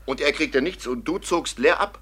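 A man's voice: a little high, normal speed, a bit bright, husky. An actor playing a blunt, hot-blooded and loyal robber henchman in a classic radio play. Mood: hearty, eager.

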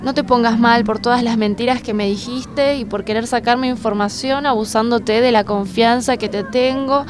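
A woman's voice: on the high side, fairly even intonation, normal speed, hardly any pauses, background noise, close to an everyday voice. A woman playing a naive, affectionate young woman in a comedy radio drama, guileless and questioning, with an Argentine accent. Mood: gentle, naive.

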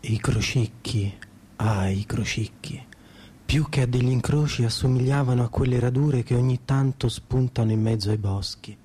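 A man of middling pitch narrates a theatrical radio script. His voice is soft-edged and husky, with a dark colour, and he delivers the lines with ironic nostalgia. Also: moderate pace. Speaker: co-narrator and performer.

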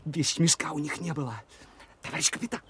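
An actor playing an earnest, watchful soldier who speaks plainly and colloquially in a wartime radio play. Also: male voice, on the high side, a little fast, a bit bright, slight background noise, lightly acted. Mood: urgent, excited. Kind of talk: first-person radio play narration.

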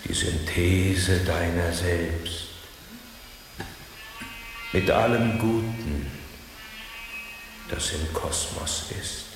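An actor playing a solemn self-help guru who leads a seminar with slow, hypnotic grandeur in a radio drama. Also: male voice, deep voice, full character voice. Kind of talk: German radio drama acting. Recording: echoey hall with music behind.

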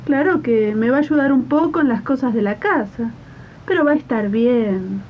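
Casting: woman to play a smooth-talking affluent employer, higher voice, persuasive, on dull hissy archive radio drama tape. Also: expressive, moderate pace. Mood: coaxing. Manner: coaxing, reassuring. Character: persuasive well-off city woman recruiting a child maid. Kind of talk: 1980s radio drama acting. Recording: muffled noisy archive radio tape, rumble.

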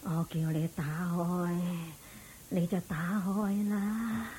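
Slow, frail old mother, noisy recording. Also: on the low side, warm tone. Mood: firm, weary. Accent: Hong Kong Cantonese. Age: older. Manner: sighing, weary, halting. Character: frail, ailing, insistent old mother putting affairs in order. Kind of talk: Buddhist radio drama acting.

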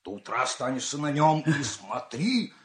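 A man acting a brisk, impatient royal servant, urgent and insistent, in an audio fairy tale. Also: medium pitch, sing-song, roomy sound, natural voice.

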